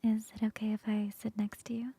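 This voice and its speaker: A woman voicing a flirty female coworker in an ASMR roleplay, close to the mic in a soft, playful whisper. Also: on the high side, monotone, a little fast, gravelly, slightly rough, no echo. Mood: teasing whisper.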